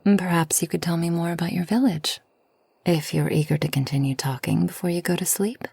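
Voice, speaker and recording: A woman playing a kind, long-lived forest witch in a soft ASMR roleplay, gentle and unhurried, often near a whisper. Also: fairly low pitch, steady tone, gravelly, slightly rough, no echo. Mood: wistful, gentle. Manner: gentle, unhurried, soothing, slightly formal speech.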